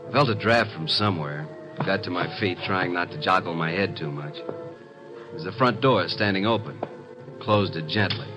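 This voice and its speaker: An actor playing a wisecracking, streetwise detective's assistant in an old radio drama, with a wry, clipped delivery. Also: male voice, low-pitched, moderate pace, dull audio, over music, natural voice. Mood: wry narration. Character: wisecracking, exasperated male private detective's assistant, streetwise and sardonic. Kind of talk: old-time radio drama acting and first-person narration.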